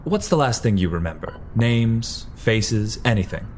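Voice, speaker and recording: Man as a low-voiced, sardonic vampire gunman. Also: very animated, measured pace, slight background noise. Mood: wry, menacing. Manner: dry, condescending, commanding. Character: cool, sardonic male vampire in a suit with revolver. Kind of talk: audio drama acting, old-fashioned slang.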